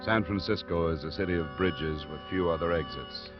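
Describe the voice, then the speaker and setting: A man's voice: sits low, fairly quick, lightly acted. An actor playing a cool, wry insurance investigator who narrates his case in clipped, matter-of-fact 1950s radio detective style. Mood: crisp, matter-of-fact. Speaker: lead actor and first-person narrator. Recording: muffled old radio recording with music.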